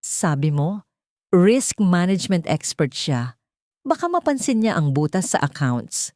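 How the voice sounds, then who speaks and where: A woman's voice: on the low side, sing-song, measured pace, mellow, no echo, clean studio sound. A woman voicing a sly, sweet but venomous mistress in an audio drama, mixing English with Tagalog. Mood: scheming worry. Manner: sugary, switching between English and Tagalog.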